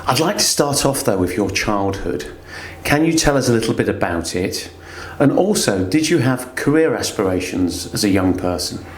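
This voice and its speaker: A man's voice: lowish voice, expressive, normal speed. Man interviewing in echoey room. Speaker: podcast host, interviewer. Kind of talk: interview questions and guest introduction. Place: indoor room at a company. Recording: roomy echo.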